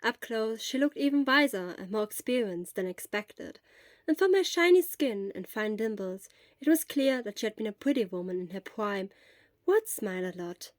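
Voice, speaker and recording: A woman's voice: mid-range voice, no echo, clean studio sound. A woman reading scripted fiction expressively as a story narrator.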